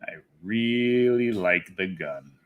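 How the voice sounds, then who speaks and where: A man's voice: lowish voice, slow, clean tone, phone-quality sound. Rambling man hosting a livestream. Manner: rambling, repeats phrases for emphasis. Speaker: internet personality, livestream host. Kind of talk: casual livestream chat, answering viewers.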